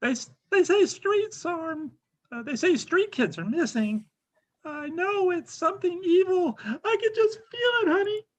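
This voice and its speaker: A woman playing a distressed, melodramatic mother in a comic dramatic reading, pleading in a halting way. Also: very high-pitched, lively intonation.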